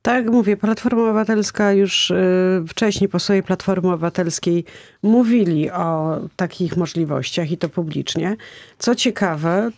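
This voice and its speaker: A middle-aged woman hosts a political talk on radio, her voice carrying a slight gravelly fry. She stumbles and restarts phrases as she sets up questions. The studio sound is close and dry. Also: fairly low pitch, darkish tone, no echo. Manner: halting, restarts often. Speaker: radio host. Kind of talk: political interview. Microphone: studio mic with headphones.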